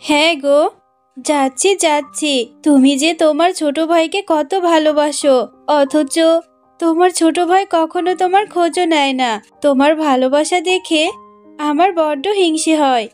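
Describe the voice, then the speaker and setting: A woman's voice: high-pitched, fairly even intonation, normal speed, darkish tone, soft background music, close to an everyday voice. A woman voicing a devoted, worried fox wife and mother in a cartoon story, with plaintive, homely, emphatic speech. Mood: fond, teasing. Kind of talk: dramatised Bangla cartoon story.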